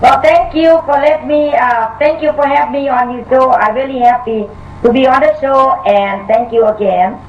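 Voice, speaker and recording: An older woman from Thailand with a Thai accent, answering interview questions in halting, broken English full of fillers. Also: sits high, recorded from a distance, dull audio, slight background noise. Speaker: author guest.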